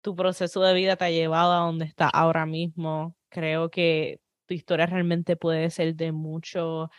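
A woman with a Caribbean Spanish accent hosts an interview. Her voice sits fairly low and sounds close and dry. She listens attentively and asks her questions plainly. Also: little pitch movement, moderate pace, no room sound. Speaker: podcast host.

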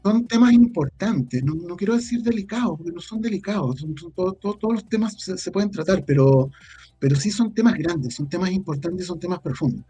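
A Chilean man speaking casually as an interview guest, halting and repeating words as he searches for his phrasing. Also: high voice, expressive, warm tone, husky. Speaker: interview guest, audio drama writer.

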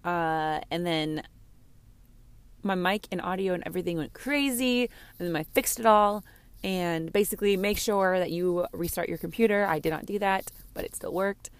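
Female podcast host, lower-pitched and a little hoarse, rambling candid chat, dry in-car sound. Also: moderate pace, no room sound. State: slightly hoarse. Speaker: podcast host and game streamer. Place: inside a parked car.

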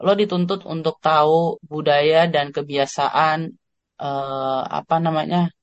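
An Indonesian man talks casually as a podcast guest. His voice sits a little high for a man and sounds dry and close, with no room around it. He speaks in a loose, slangy way, pausing on fillers. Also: no room sound, dull audio. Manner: relaxed, slangy, with hesitant fillers. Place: living abroad, on a call.

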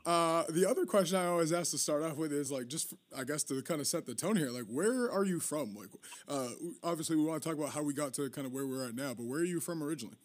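A man's voice: a little high, quick pace, few pauses, no room sound. An American man from Massachusetts hosting a casual interview, asking chatty, rambling, informal questions. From Massachusetts, United States. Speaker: podcast host.

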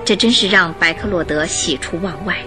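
A woman narrating a radio drama in an expressive, measured, scripted storytelling style. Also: lowish voice, over music.

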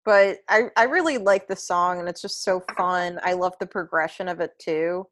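Woman, young adult, low voice, podcast co-host, rambling casual chat. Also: normal speed. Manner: rambling, many fillers and restarts, swears casually.

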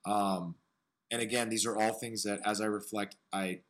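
A man with a smoky, raspy voice hosting an interview, talking earnestly and searchingly about music and his own struggles. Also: lowish voice, steady tone, moderate pace. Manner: earnest, searching, piles up phrases. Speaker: podcast host. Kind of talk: reflective interview talk.

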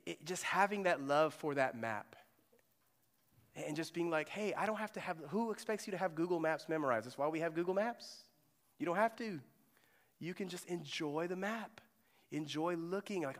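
Guy pastor preaching, kinda high voice, lots of questions to the crowd, echoey church hall. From Germany. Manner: conversational, asks the congregation questions. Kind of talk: sermon. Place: church sanctuary.

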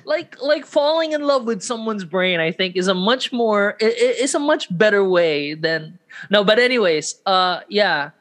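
A man's voice: sits high, expressive, normal speed, fairly bright tone. A man hosting a casual podcast, talking in a hesitant, playful way and often restarting his sentences. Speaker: podcast host. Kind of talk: casual chat.

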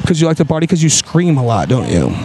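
A man's voice: a little high, wildly swooping pitch, fast talker. A man doing a rowdy street interview, brash and teasing, repeating himself and swearing casually. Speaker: street interviewer, podcast co-host. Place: outdoors on a busy nightlife street. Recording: loud street, crowd chatter, music, traffic.

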